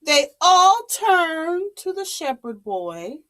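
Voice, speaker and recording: A woman telling a story to young children in a dramatic, expressive, deliberate way. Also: very high-pitched.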